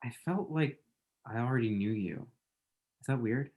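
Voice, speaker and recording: A man performs a lovestruck, self-deprecating young man in a romantic comedy script read. The voice is mid-pitched, with a wry, hesitant delivery. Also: lively intonation, moderate pace, darkish tone, close to an everyday voice. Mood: shy, wry. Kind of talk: screenplay table read.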